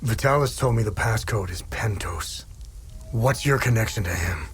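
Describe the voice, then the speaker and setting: Man playing a low-voiced, cold, stoic soldier. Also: normal speed, a little hiss or hum, lightly acted. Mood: businesslike. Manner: flat, terse, detached, hushed. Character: cold, emotionless young male ex-soldier, stoic and driven. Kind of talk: dramatic video game cutscene acting. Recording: music under the voice. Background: music.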